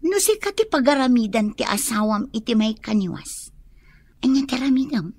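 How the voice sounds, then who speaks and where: A woman's voice: on the high side, sing-song, moderate pace, a little mellow, slightly rough, no room sound, full character voice. A woman playing a wary friend who speaks in hints, in a radio drama. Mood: probing. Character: hinting, wary female friend of the wife. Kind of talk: Ilocano radio drama acting.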